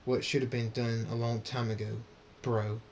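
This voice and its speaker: A man's voice: flat delivery, normal speed, creaky, darkish tone, close to an everyday voice. A man voicing a stern, vengeful winged warrior pony father in a story, grim and commanding. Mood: cold, menacing. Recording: noisy with low rumble.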